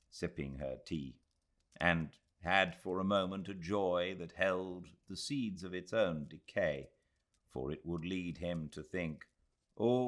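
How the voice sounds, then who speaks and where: A British man reading a literary audiobook in a measured, expressive storytelling manner. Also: sits low. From Britain. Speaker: audiobook narrator.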